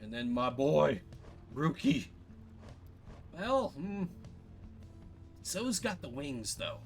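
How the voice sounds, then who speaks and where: A man's voice: fairly high pitch, big pitch swings, slow pace, creaky, faint music behind. A man doing casual let's play commentary on a game as a streamer. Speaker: gaming streamer.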